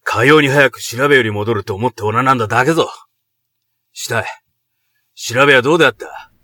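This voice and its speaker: A man's voice: mid-range voice, fairly quick, pushed and bright, dry recording, studio quality. An actor playing a proud middle-aged noble commander and father in a Japanese period audio drama, speaking in archaic, imperious samurai language. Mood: stern, guarded.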